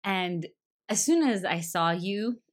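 A woman's voice: mid-range voice, animated, slow pace. A woman in her thirties chatting casually as a podcast guest, giggly and full of fillers. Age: 30s. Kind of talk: casual chat.

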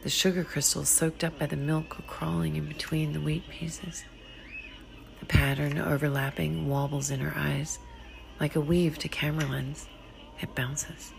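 A woman reading a literary short story in a hushed, deliberate, dramatic way, often sinking to a whisper. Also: low voice, level tone, creaky, poor audio. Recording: music behind the voice. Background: music.

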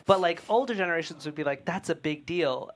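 A woman's voice: low voice, brisk. A woman casually putting questions and feedback to others in a group discussion. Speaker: table-read participant asking questions.

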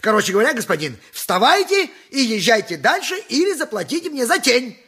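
A grumbling, pious donkey driver from a theatrical radio play. His voice is very high for a man, bright and swinging widely in pitch. He blusters and haggles like an aggrieved peasant. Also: sing-song, a little fast, slightly rough, exaggerated voice. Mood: indignant, haggling.

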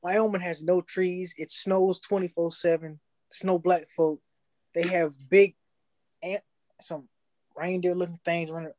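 A young man, telling his story in casual podcast chat, slangy and animated, acting out his past thoughts. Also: high voice, unhurried, no room sound.

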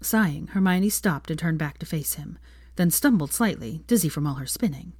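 A woman reading fan fiction aloud as expressive, scripted story narration. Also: low-pitched, moderate pace, husky, no room sound, studio quality.

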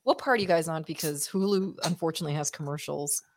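A woman's voice: deep voice, fast. A woman co-hosting a casual watch-party film chat, blunt and informal, swearing freely. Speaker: podcast co-host.

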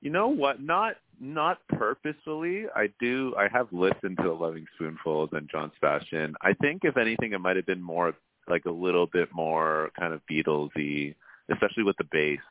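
A man's voice: deep voice, animated, normal speed, dry recording. A man from Canada, a musician guest talking in a friendly, easygoing way. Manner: friendly, easygoing, uses fillers like "you know". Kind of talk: casual interview chat. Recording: dry, tinny phone-like line.

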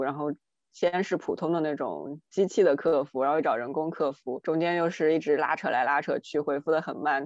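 A woman's voice: low-pitched, darkish tone, no echo. A woman co-hosting a podcast, telling personal anecdotes in a casual, exasperated, rambling way. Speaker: podcast co-host. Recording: dry, slightly dull lo-fi audio.

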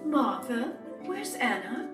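A proper, spirited young woman, played by an actress in an audio drama, prim and quick to scold. Her pitch moves expressively, and she sounds distant and echoey over a music bed. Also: big pitch swings. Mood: polite, pleased. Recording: echoey room, distant from mic.

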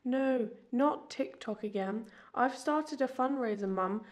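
A woman plays an eager younger daughter in an audio drama. She is persuasive, with a voice a little higher than most women's. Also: unhurried, natural voice.

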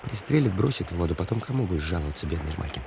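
An actor playing a jittery, self-pitying petty thief in a Russian radio play. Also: male voice, sits low, expressive, rapid-fire, vocal fry, background noise. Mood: fearful, self-pitying.

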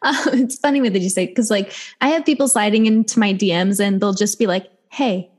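Woman, West Coast-Midwest American accent, medium pitch, informal, chatty podcast guest, comedian. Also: fairly quick, darkish tone. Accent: blended West Coast and Midwest American. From Ohio, US Midwest. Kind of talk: casual chat.

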